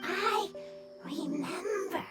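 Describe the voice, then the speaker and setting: A woman voicing a small, simple, childlike creature in a video game, speaking earnestly in halting broken phrases. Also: very high-pitched, echoey room, full character voice. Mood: hesitant. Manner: broken one and two word phrases. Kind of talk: scripted video game voice acting. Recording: distant voice under loud music.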